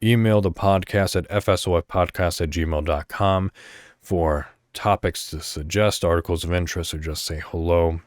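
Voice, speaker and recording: A man hosting a podcast solo, chatting casually and thinking out loud in a rambling way. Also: low voice, fairly even intonation, normal speed, dry recording, clean studio sound. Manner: rambling, thinking aloud, mild swearing. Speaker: podcast host.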